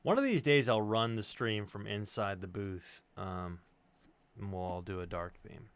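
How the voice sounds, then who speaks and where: A man's voice: lowish voice, a little slow, smooth, no room sound. A man in casual conversation on a livestream, speaking bluntly and informally. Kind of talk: casual chat.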